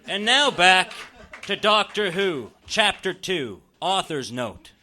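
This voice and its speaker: A man's voice: high voice, sing-song, takes it slowly, pushed and bright, full character voice. A man performing a comedic dramatic reading as a grandiose, theatrical hero and announcer. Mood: announcing. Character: grandiose, romantic sci-fi hero with hammy delivery.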